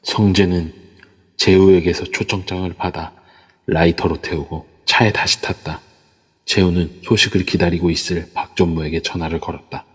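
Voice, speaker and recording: A man narrating an audio drama in a measured storytelling manner. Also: low-pitched, steady tone, slightly rough, big-room sound. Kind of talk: scripted narration. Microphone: phone app mic.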